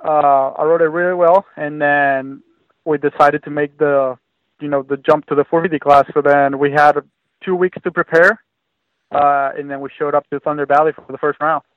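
A young man from Venezuela, heard over a dull, muffled line with a dry sound and a slightly higher voice. He speaks as a guest in an interview, relaxed and easygoing, with frequent fillers. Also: moderate pace, dry recording. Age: 20s. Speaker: guest, professional motocross racer. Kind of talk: casual interview.

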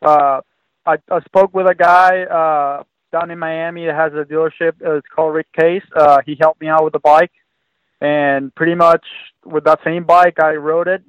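A man in his twenties from Venezuela, a professional motocross racer, answering interview questions in a relaxed way with frequent fillers. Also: on the high side, moderate pace, dry recording, dull audio. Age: 20s. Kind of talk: casual interview.

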